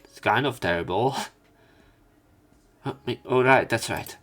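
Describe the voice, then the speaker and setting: A man reading visual novel narration aloud as a let's play commentator, with halting casual asides where he corrects himself. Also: mid-range voice, fairly bright tone, soft background music.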